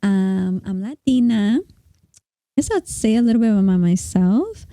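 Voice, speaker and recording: A woman's voice: mid-range voice, expressive, a little slow, mellow, no echo, studio quality. A young woman from the New area, co-hosting a podcast in casual chat, bubbly and laughing often. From New York tri-state area. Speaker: podcast co-host.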